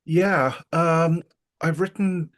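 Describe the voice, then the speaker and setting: A middle-aged or older man, talking casually and reflectively as an interview guest, pausing often to think aloud. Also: a little high, fairly even intonation.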